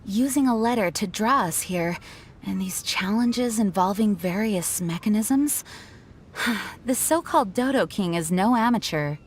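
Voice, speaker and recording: A woman playing a dutiful young knight commander in a video game, speaking in a measured, formal and earnest way. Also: mid-range voice, expressive, a little hiss or hum, natural voice. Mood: analytical. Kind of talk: video game character acting. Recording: music behind the voice.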